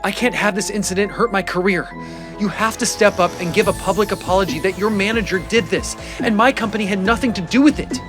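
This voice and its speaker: A woman's voice: mid-range voice, fast talker, close to an everyday voice. A woman voicing a manipulative, blaming, self-serving fiancé in an audio drama. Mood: demanding. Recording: music behind the voice.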